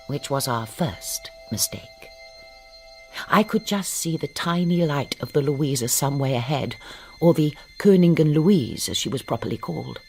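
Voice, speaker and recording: An actress narrating as a woman who looks back on a perilous voyage in a radio drama, in a measured storytelling manner. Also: very deep voice, creaky, heavily acted. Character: English woman recalling her voyage, looking back.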